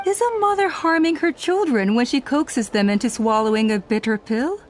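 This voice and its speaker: An actress playing a proud, self-righteous clan elder woman in a video game, speaking in measured, formal, persuasive speeches. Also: high-pitched, lively intonation, a little hiss or hum. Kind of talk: scripted video game voice acting. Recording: music under the voice.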